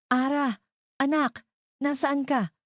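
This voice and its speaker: A woman's voice: high-pitched, steady tone, slow pace, no room sound, studio quality, pitched above the performer's own voice. A woman voicing a worried, motherly older neighbor in an audio drama, urgent and anxious, with a Filipino accent.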